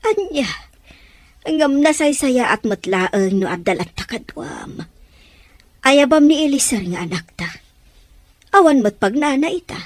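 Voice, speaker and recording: Radio-drama wife and mother, played by a woman: anxious, caring, somewhat high-pitched, no room echo. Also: animated, a little slow, long pauses. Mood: worried. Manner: anxious, questioning. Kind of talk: Filipino radio-style drama acting.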